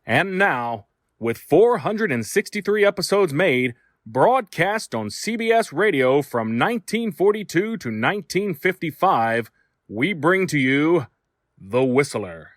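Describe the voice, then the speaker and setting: A man's voice: a little high, very animated, a little slow, no room sound, clean studio sound. A man reading a scripted promotional intro as a channel announcer.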